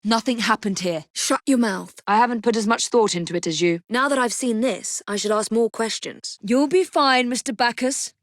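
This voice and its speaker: An actress with an English accent playing a poised, determined young Victorian assassin in a video game, speaking in crisp, formal phrases with dry wit. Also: female voice, on the high side, normal speed, hardly any pauses, no room sound, close to an everyday voice. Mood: composed, businesslike. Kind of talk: dramatic video game voice acting.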